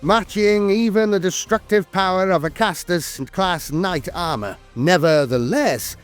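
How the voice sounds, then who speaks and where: A man's voice: sits high, measured pace, clear, resonant tone, soft background music. A man reading scripted war lore as a narrator, in a formal, dramatic storytelling manner with the occasional hesitation.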